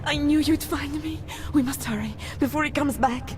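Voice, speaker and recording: A frightened captive woman from a video game, played by an actress, with a high, breathy voice. She pleads urgently and anxiously while strong music plays underneath. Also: normal speed, close to an everyday voice. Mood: fearful, urgent. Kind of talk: video game cutscene acting. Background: loud music.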